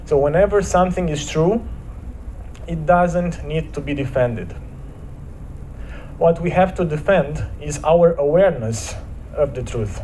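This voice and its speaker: A man from Romania with a Romanian accent, giving an earnest inspirational talk at a church service, slowing down to stress key phrases. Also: on the high side, warm tone, a little hiss or hum, low-quality recording. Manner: earnest, measured storytelling with slowed emphatic phrases. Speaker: guest speaker.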